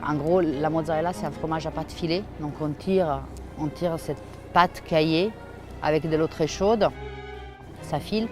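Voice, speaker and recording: A woman's voice: deep voice, normal speed, roomy sound, soft background music. A woman in her thirties from southern Italy, speaking with an Italian accent in a hesitant, think-aloud way as she explains her craft. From Puglia, southern Italy. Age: 30s. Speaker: artisan cheesemaker and shop founder. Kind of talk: personal interview account of her craft.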